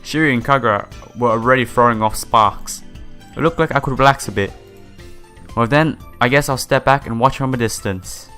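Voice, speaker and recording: A man's voice: medium pitch, moderate pace, pushed and bright. Casual man with music behind him. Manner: stumbles over read lines, sympathetic asides. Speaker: host of a game playthrough. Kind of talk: casual let's play, reading visual novel text aloud with asides.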